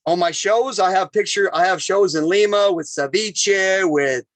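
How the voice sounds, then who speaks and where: High-voiced animated man, dry sound. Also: a little slow, no echo. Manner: animated, talks in bursts with repeated phrases. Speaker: chef and podcast guest. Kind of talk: casual storytelling interview.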